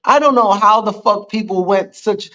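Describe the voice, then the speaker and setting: Earnest high-voiced man, native Spanish speaker. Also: moderate pace, sharp tone, dull audio. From Dominican Republic. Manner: earnest, rambling, agreeable. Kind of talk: casual live-stream chat.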